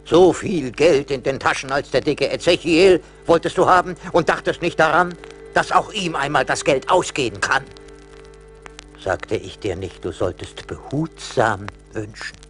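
Stern little forest spirit. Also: male voice, on the high side, animated, measured pace, a bit bright, soft background music. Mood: indignant chiding. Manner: admonishing, rhetorical. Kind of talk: German radio play acting.

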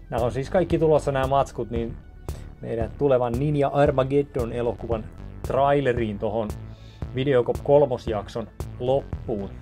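A man in his thirties talks through a DIY set build in a relaxed, rambling way, restarting his sentences often. His voice sits at an ordinary middle pitch and is picked up close by a camera in a small office studio. Clear background music plays under the whole recording. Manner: chatty, rambling, with many restarts. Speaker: video maker showing a set build. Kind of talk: casual DIY vlog talk. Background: music playing under the talk.

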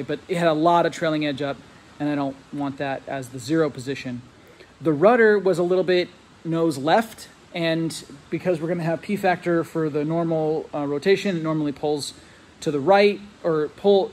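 A man in his thirties with a slightly high voice talks into a phone camera held close. He rambles easily with fillers and restarts while explaining technical details, and a faint hum of room ambience sits in a big metal hangar behind him. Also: a little mellow. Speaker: RC plane hobbyist vlogger. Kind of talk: casual walkthrough vlog. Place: large metal hangar, indoors. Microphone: handheld phone camera held close.

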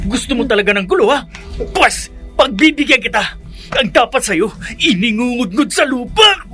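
A man's voice: very high voice, expressive, moderate pace, sharp tone, faint music behind, full character voice. A man with a Filipino accent playing a spiteful, lazy young bully of a son in a radio drama, taunting and whiny. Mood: menacing, furious. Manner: taunting, whiny when told to work.

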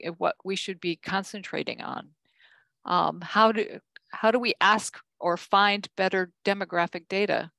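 An older woman giving a conference keynote from slides, measured, with frequent ums and self-corrections. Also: medium pitch, gravelly, a bit bright, no echo. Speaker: keynote speaker.

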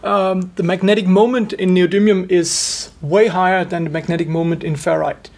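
A man in his forties giving measured technical explanations as an expert in an interview. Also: high-pitched, little pitch movement, darkish tone. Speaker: expert guest, loudspeaker driver engineer.

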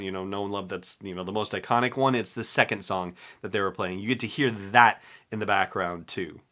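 A man's voice: lowish voice, pushed and bright. A man in his forties talking casually and emphatically as an enthusiastic solo podcast host, recorded at a desk in a small room at home.

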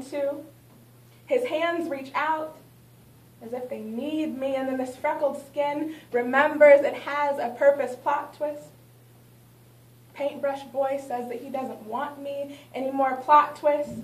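A woman's voice: high-pitched, darkish tone, echoey room. A young woman performing spoken word poetry, reciting dramatically with rhythmic refrains, recorded by a distant camera in a small gallery. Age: young adult. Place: small art gallery, indoors. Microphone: far camera, no mic.

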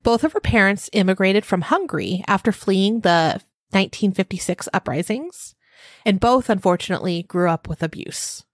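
A woman in her thirties co-hosts a true crime podcast in a casual, conversational way, working through her researched notes. Her voice sits at a middle pitch and sounds close and dry, with no room around it. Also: animated, normal speed, no echo. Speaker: podcast co-host.

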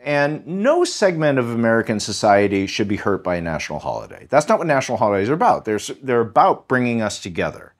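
A man of middling pitch talks as an expert guest on a podcast. His delivery is emphatic and highly animated, swinging up and down as he stresses key words. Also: fast, few pauses. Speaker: guest historian. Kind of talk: conversational podcast interview.

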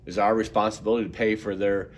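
A man's voice: on the low side, little pitch movement, very fast. A man, a pastor preaching a podcast sermon while congested from a head cold, casual and conversational with fillers and repeats.

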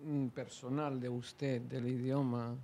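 A man's voice: medium pitch, level tone, slow, warm tone, a little hiss or hum. Hesitant Spanish-man interviewing. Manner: hesitant, many fillers and tag questions. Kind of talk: conversational interview.

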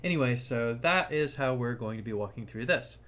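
Guy doing a laid-out how-to voiceover, medium pitch, informal, walks you through it step by step. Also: lively intonation, a little fast, a bit bright. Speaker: tech tutorial host. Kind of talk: casual screen-share tutorial narration.

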